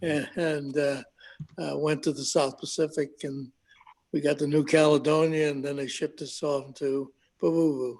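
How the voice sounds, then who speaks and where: Halting man in his nineties. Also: fairly high pitch, little pitch movement, darkish tone. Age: 90s. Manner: halting, searching for words with many uhs. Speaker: WWII veteran guest. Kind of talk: live video conversation.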